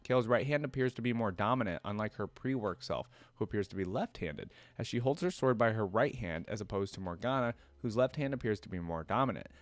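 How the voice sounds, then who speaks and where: A man's voice: fairly low pitch, animated, brisk, few pauses, no room sound. A man hosting a reaction video, reading trivia aloud in a casual way with short asides to himself.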